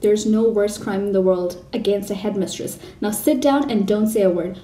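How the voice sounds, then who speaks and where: A woman voicing a furious, bullying headmistress who shouts and rants, recorded in a roomy space from a distance. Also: mid-range voice, moderate pace, natural voice. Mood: raging. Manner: shouting, loud and harsh.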